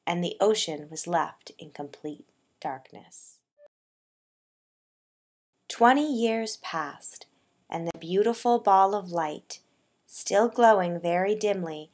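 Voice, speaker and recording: A woman narrating a poetic tale for a puppet show in a measured, deliberate storytelling manner, letting her phrases soften as they end. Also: on the low side.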